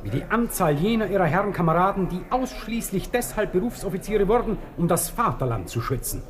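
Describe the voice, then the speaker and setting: A polished lawyer, high and very lively in pitch, courteous with an ironic edge. An old radio play with a crowd around him. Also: male voice, brisk. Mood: amused, cutting. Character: suave, sharp-tongued male lawyer. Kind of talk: 1960s German radio drama.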